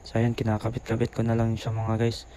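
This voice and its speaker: A man's voice: medium pitch, monotone, brisk, warm tone. A man with a Filipino accent gives a casual voice-over product review, keeping his voice down. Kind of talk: casual voice-over review mixing Tagalog and English. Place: boarding house room, voice-over. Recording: faint background noise.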